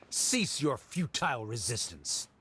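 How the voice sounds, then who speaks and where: A man's voice: medium pitch, huge pitch swings, takes it slowly, heavy background noise. A man playing a haughty, scornful academic prosecutor in a video game, speaking in a formal, pompous, condescending manner.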